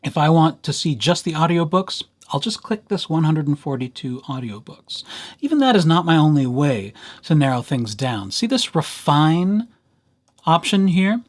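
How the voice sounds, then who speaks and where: Male tutorial host, slightly high voice, very animated intonation, casual and wry with playful asides. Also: moderate pace. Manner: playful, dryly humorous asides. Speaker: library presenter, tutorial host. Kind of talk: casual screen-share software tutorial.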